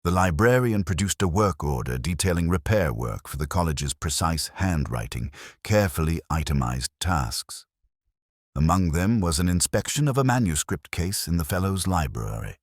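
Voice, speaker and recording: A man playing a brooding, weary police inspector, narrating a literary detective audio drama in measured, contemplative phrases. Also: sits low, big pitch swings, dry recording, studio quality. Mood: quietly suspicious.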